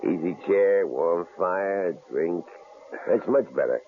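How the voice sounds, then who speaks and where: An actor playing a menacing, sneering male stranger in an old radio drama, with a gravelly, mocking drawl. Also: sits low, animated, slow, heavily acted. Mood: leering. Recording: tinny old radio broadcast, faint hiss.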